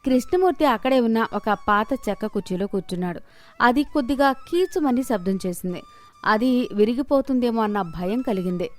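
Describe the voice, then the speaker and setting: A woman's voice: on the high side, animated, fairly quick, soft background music. A woman narrating a scripted moral story.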